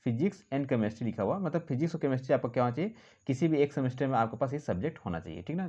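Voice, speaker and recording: A man's voice: medium pitch, a little mellow. A man presenting an informal explainer, reading out a notice and commenting in a repetitive way with frequent check-in tags. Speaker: host of a job vacancy information channel. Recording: dull, muffled lo-fi audio.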